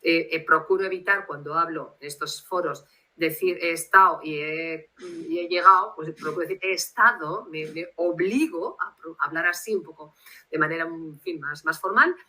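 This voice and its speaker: A woman's voice: low-pitched, normal speed, sharp tone, poor audio. A woman from Bilbao with a Basque Spanish accent, speaking as a guest translator on a video call in a reflective, rambling way. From Spain, Bilbao.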